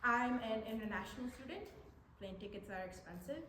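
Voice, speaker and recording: Girl acting self-deprecating college newcomer, casual, highish voice, echoey w faint noise. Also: expressive, a little fast, pushed and bright. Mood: friendly, curious. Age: young adult. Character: female international math student, friendly, self-deprecating newcomer. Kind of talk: staged play reading. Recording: echoey room, background noise.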